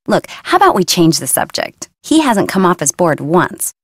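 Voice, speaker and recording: A woman's voice: on the low side, very animated, moderate pace, slightly rough, no echo, studio quality. An actress voicing a cocky, breezy young woman who teaches surfing, in a video game. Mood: breezy, boastful. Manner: breezy, boastful, teasing. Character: cocky, easygoing young woman surf instructor. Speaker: surfing coach.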